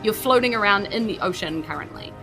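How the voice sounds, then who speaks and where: Bright-voiced New Zealand woman game master. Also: mid-range voice, lively intonation, brisk, soft background music. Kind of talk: casual tabletop game narration.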